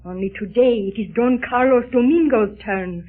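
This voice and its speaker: A woman's voice: fairly high pitch, lightly acted. Woman acting a proud lady, old radio. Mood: composed, scheming. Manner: formal, measured, dramatic. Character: proud, scheming Spanish married lady. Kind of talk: 1930s radio serial acting. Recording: muffled narrow-band 1930s radio recording.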